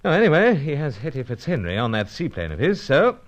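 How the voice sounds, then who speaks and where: An actor playing a gentlemanly, dryly understated British secret agent, with clipped old-fashioned speech, in a radio adventure serial. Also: male voice, on the high side, wildly swooping pitch, fast, muffled recording. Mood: composed, decisive.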